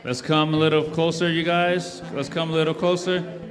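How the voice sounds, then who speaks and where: A man's voice: fairly high pitch, level tone, normal speed, in a large hall. A man leading a church service, speaking casually and encouragingly to the congregation and repeating himself often. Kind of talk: addressing a congregation.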